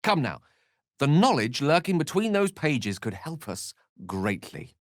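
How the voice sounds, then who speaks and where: An actor with a British accent voicing a learned, proud, romantic wizard in a video game, with eloquent, slightly pompous phrasing. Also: male voice, a little high, very animated, a little slow, dry recording, studio quality. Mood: earnest, firm. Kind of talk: video game character voice lines.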